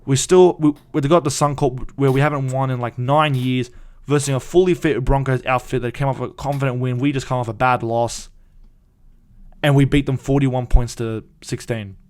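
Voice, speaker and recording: A young man, hosting a casual solo fan podcast in an excited, rambling way with frequent restarts. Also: medium pitch, normal speed.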